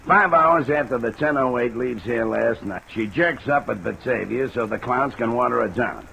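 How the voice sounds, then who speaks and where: An actor playing an aging, gruff railroad detective in a radio drama, with a gravelly voice, blunt folksy manner and thick railroad slang. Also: male voice, medium pitch, bright, forceful tone. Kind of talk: old-time radio drama acting. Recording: noisy, hissy old radio recording.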